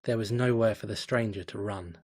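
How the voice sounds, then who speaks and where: A man reading a fanfiction story aloud in a measured, dramatic storytelling manner. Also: lowish voice, steady tone, a little mellow. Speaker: fanfiction reader.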